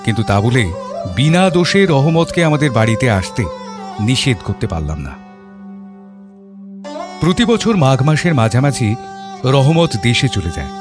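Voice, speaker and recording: A man narrating an audio story as a thoughtful father, in a measured, literary storytelling manner. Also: medium pitch, sing-song, over music. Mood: reflective. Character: thoughtful middle-class father telling his story.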